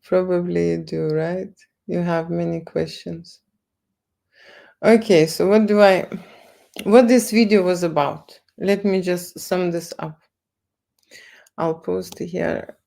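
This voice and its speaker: Slow, gravelly Lithuanian-accented woman. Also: fairly low pitch, steady tone, warm tone. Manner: halting, repeats words, tag questions like okay and right. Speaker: photo studio owner livestreaming. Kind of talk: casual livestream talk to viewers.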